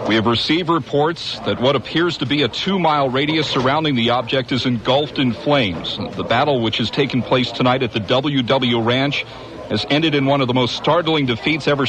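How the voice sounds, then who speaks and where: An actor playing a radio news anchor reading urgent bulletins in a radio drama. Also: male voice, medium pitch, natural voice, poor audio. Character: steady male news anchor reporting catastrophe.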